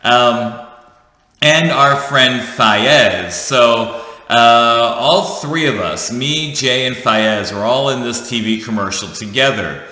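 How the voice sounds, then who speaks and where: Chatty man with a bright, echoey voice. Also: medium pitch, slow. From United States. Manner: chatty, jokey, self-correcting. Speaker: vlogger. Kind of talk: casual storytelling to camera. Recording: echoey room with long reverb.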